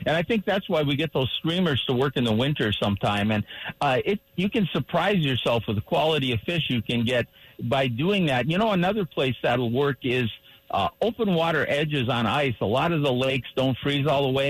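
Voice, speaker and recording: A man with a somewhat higher voice hosts a radio talk show, chatting easily and at length. He sounds a little hoarse and keeps clearing his throat. It comes through as a radio broadcast. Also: no echo, low-quality recording. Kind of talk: casual fishing talk on radio.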